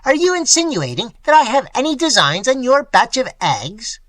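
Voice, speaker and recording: A man voicing a huge, peevish male professor who talks in a thin, highly sarcastic voice. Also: huge pitch swings, moderate pace, sharp tone, no room sound, exaggerated voice. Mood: scornful.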